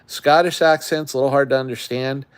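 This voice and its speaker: A man's voice: mid-range voice, fairly even intonation, a little fast, clean tone, dry recording. A middle-aged man from the Great Lakes region hosting a camping channel, chatting casually on a live stream in a rambling way with lots of fillers.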